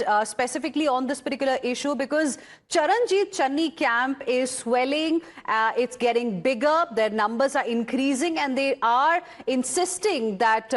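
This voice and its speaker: High-pitched Indian woman news anchor. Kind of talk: live news presenting.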